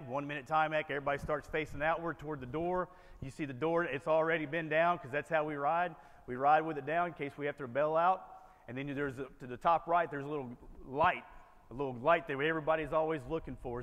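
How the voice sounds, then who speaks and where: A man's voice: medium pitch, a bit bright. An American man giving a motivational keynote as a storyteller, conversational and often checking in with a tagged-on "right?". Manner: conversational, punctuated with frequent "right?" tags. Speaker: keynote speaker, military veteran. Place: conference ballroom, on stage before seated audience. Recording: echoey hall with long reverb.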